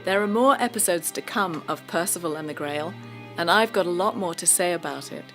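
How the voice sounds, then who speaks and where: A woman's voice: on the low side, brisk, a bit bright. A woman narrating a scripted legend dramatically, as a storyteller. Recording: music under the voice.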